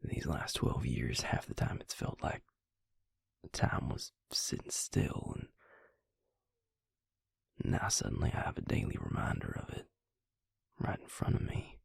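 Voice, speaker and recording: Man voicing a reflective male vampire, very low pitch, slow halting pace, husky whisper, intimate roleplay audio. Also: sing-song, dry recording, clean studio sound, full character voice. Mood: pensive. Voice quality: husky, breathy, gravelly whisper. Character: brooding male vampire maker confiding in his mate.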